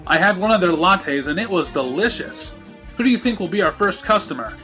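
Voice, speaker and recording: A man reads the part of a mild-mannered husband pony who keeps a shop. The voice is a little high for a man, polite and proud as it explains. Quiet music plays behind the cartoon tale. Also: expressive, brisk. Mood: pleased. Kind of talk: fan fiction story reading.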